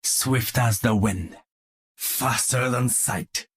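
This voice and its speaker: An actor playing a disciplined, stoic cyborg ninja swordsman in a video game, with a husky voice, a Japanese accent and formal, clipped phrasing. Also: mid-range voice, very slow, fairly bright tone, heavily acted. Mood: grave, quiet. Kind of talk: video game combat voice lines.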